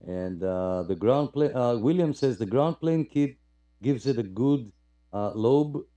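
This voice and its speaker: A man with a mellow, relaxed voice hosts a casual radio club livestream. He speaks in a halting way as he greets listeners and reads comments aloud. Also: medium pitch, dull audio. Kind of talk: casual chat, greeting participants and reading out comments.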